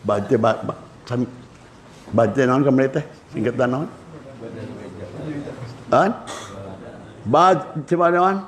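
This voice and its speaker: An older man with a Sundanese accent giving a religious lecture to students, lively and switching between languages as he acts out anecdotes. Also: mid-range voice, slow pace, pauses often. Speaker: Islamic cleric.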